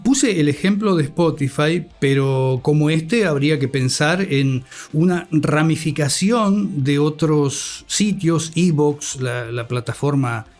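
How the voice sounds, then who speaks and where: A middle-aged man with an Argentine accent, giving reflective, measured answers as an academic guest, in formal vocabulary. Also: on the high side, a little mellow. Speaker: interview guest, communication academic and scriptwriter. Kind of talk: reflective interview talk. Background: music bed.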